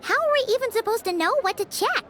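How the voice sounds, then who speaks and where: A woman's voice: lively intonation, fast, a bit bright, slight background noise. A woman voicing a tiny childlike companion creature in a video game, chatty, exclamatory and prone to grumbling. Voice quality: squeaky, childlike. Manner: third-person self-reference, exclamatory. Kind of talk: animated video game voice acting.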